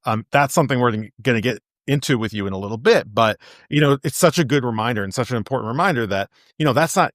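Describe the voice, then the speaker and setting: An American male podcast host with a medium-pitched voice. He interviews conversationally, wandering through his thought and stressing key points. The recording is dry, with little room sound. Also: fairly bright tone, no echo.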